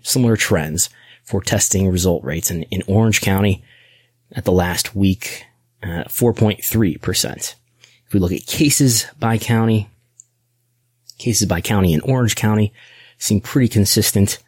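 An American man hosting a podcast, reading through news in a deliberate, announcer-like way. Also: sits low, darkish tone, slightly rough, no room sound, studio quality. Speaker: podcast host.

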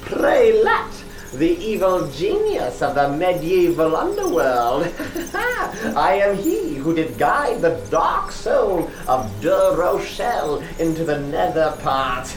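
Casting: hysterical stagey madman in a lighthouse horror play, slow grand delivery, swinging wildly in pitch, roomy sound. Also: heavily acted. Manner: theatrical, swooping, cackling delivery. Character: hysterical French lighthouse keeper, theatrical madman, former stage actor. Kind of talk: horror radio play acting. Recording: roomy, distant, faintly noisy.

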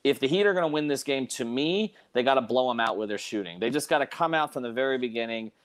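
A man with a medium-pitched voice hosts a casual sports discussion. He is emphatic, often doubling back on phrases to stress a point. The sound is dry, with little room to it. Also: animated, fast talker, dry recording. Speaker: sports podcast host.

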